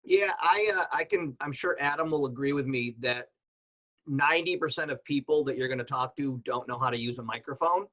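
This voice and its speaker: A man's voice: mid-range voice, fairly quick, a bit bright, low-quality recording. A plain-spoken man giving casual, practical advice as a panelist in a conversational way. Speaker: panelist with radio and podcasting experience.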